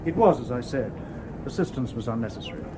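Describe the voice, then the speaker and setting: An actor playing a calm, courteous humanlike robot detective in precise, formal speech, in an old radio drama. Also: male voice, mid-range voice, noisy background, lightly acted. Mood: calm, matter-of-fact. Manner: formal, precise, measured, unemotional wording.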